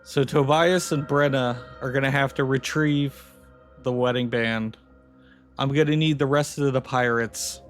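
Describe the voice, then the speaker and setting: A man narrating as game master in a tabletop game, relaxed and casual, often pausing to restart. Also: medium pitch.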